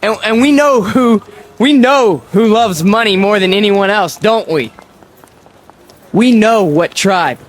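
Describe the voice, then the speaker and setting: An actor playing a young man ranting on a street corner, stammering nervously and then preaching with slow, heavy emphasis. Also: high-pitched. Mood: fervent. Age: young adult. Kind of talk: radio drama acting.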